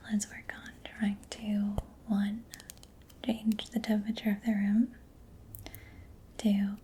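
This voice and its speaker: A woman doing a soft-spoken ASMR roleplay as a mechanic, in a breathy whisper with a gentle, hesitant, reassuring manner. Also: mid-range voice, a little hiss or hum.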